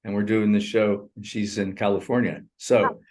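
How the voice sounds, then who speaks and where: A man in his sixties co-hosting a casual movie review over a remote call, talking in a rambling, animated way and laughing often. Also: on the low side, normal speed. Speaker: co-host. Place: in Thailand.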